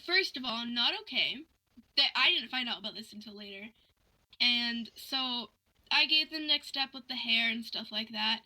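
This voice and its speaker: A young woman chatting casually, telling a story in an animated, ranting way and acting out both sides of conversations. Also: fairly high pitch, normal speed, low-quality recording.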